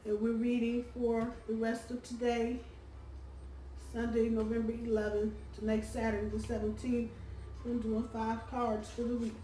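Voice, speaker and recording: Hoarse lady doing a chatty tarot reading, kinda far from the mic, echoey room, little hum. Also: on the high side, completely flat delivery, moderate pace. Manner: chatty, warm-hearted, lots of ums and uhs. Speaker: tarot reader. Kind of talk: casual weekly tarot reading. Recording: roomy, distant from the mic, faint background hum.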